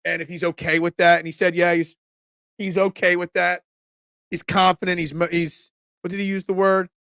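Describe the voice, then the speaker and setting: A man, congested from a cold, hosting a casual sports talk stream, excitable and opinionated, often restarting his sentences. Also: sits high, normal speed, smooth, dry recording, studio quality. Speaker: sports talk show host. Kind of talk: casual live-stream sports talk, reading chat comments.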